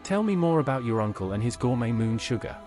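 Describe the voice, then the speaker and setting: A man's voice: medium pitch, sing-song, fast talker, over music, natural voice. A man's synthetic voice playing an inquisitive adventurer in a fantasy video game, asking things plainly. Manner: plain, questioning. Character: inquisitive male adventurer questioning people.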